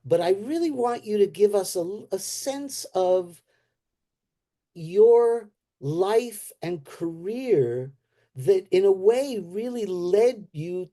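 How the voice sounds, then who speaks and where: Male interviewer, very dark mellow tone, slow, effusive and rambling. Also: high voice. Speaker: host and interviewer. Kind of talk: Q&A interview question.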